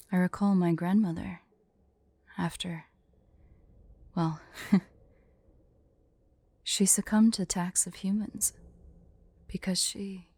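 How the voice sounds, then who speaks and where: A woman plays a proud, wistful she-dragon guarding her hoard in an ASMR roleplay. Her voice is mellow and slightly low, and she speaks very slowly in formal, measured phrases that sink into breathy hush. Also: no echo, exaggerated voice. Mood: wistful, confiding. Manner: formal, measured storytelling with breathy hushed drops. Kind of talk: ASMR roleplay with slow myth storytelling.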